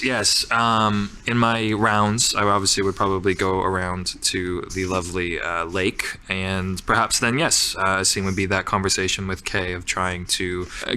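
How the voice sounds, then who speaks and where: Crisp, bright-voiced man narrating a game. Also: deep voice. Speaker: tabletop game player.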